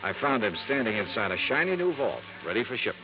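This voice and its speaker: A quick, wry male investigator narrating his case with a lively, rising and falling delivery, played by a man. The old broadcast sounds dull, with music underneath. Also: mid-range voice, close to an everyday voice. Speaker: narrating lead. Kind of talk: 1950s radio drama acting.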